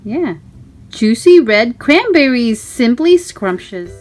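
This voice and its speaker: A woman storytime host reading a picture book aloud for children, with expressive, sing-song questions and exclamations. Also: fairly high pitch, slow pace, faint music behind.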